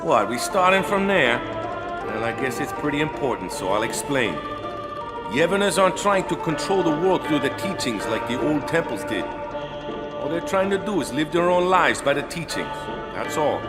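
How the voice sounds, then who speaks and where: A laid-back, blunt male island caretaker who drops a casual "eh?" at the end of his lines. His voice sits a little high for a man and comes through over loud game music. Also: very animated, normal speed. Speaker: caretaker and former guardian. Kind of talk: game audio drama voice acting.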